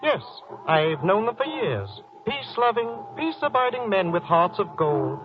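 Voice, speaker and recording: High, animated, genteel merchant. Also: male voice, measured pace, over music. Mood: genial, earnest. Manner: earnest, formal, preachy. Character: genteel, pious, peace-preaching village merchant. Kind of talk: 1940s radio drama acting. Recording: dull, noisy 1940s radio recording.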